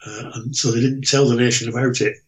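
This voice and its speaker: A man's voice: medium pitch, steady tone, fast talker. A man chatting casually in a hesitant, rambling way, telling anecdotes as a regular on a talk show. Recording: dull lo-fi voice connection.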